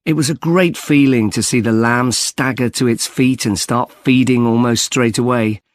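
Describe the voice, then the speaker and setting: A man's voice: medium pitch, steady tone, normal speed, no room sound, clean studio sound, natural voice. A man playing an earnest young student in a scripted listening-test dialogue. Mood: earnest, chatty. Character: earnest young male student on farm placement.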